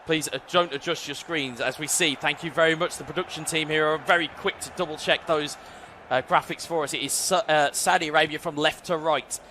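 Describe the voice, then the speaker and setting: A man's voice: a little high, steady tone, fairly quick, few pauses, bright, forceful tone, a little hiss or hum. A man with an English accent giving fluent, upbeat play-by-play commentary on an esports football match, often speaking to the viewers directly. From England.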